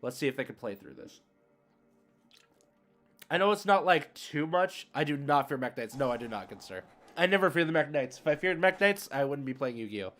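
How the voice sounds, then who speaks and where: A man's voice: fairly high pitch, animated, moderate pace, fairly bright tone, soft background music. A man giving casual live commentary as a game streamer, thinking aloud in informal gamer slang. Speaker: streamer hosting card game matches.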